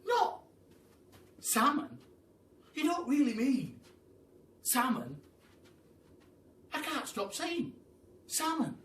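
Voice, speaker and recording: A man voicing a nervous, polite pelican in a storybook, hesitant and excitable. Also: extremely high pitch, roomy sound, distant mic, a little hiss or hum, voice pushed up, full character voice. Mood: amazed, screeching. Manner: hesitant, halting and wordy.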